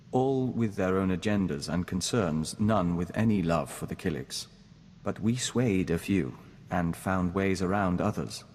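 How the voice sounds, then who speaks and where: A deep-voiced envoy of a hive mind, serene and devoted. His speech is formal and measured, like a courteous mystic in a sci-fi game. The sound is very reverberant, with some noise behind it. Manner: measured, formal, speaks of himself as we. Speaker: companion character in a video game. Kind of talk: scripted game dialogue.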